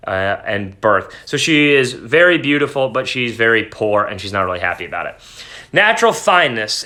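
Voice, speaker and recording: A man's voice: mid-range voice, pushed and bright. A man speaking as a teacher, in an informal, explanatory lesson style with dramatic read-aloud of a story. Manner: explanatory, breaks off reading to paraphrase in plain words.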